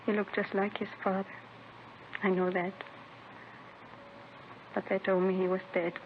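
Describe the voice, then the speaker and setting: An actress playing a distraught, grieving young mother making a halting confession in a 1950s radio drama. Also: medium pitch, little pitch movement, lightly acted. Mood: grieving, haunted. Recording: tinny, noisy vintage radio recording.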